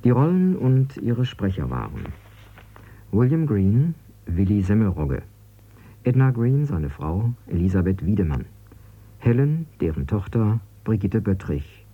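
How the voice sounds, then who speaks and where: A man's voice: fairly low pitch, sing-song, a little slow, breathy edge, narrow, tinny audio. A man reading formal radio credits as an announcer, on a thin broadcast recording.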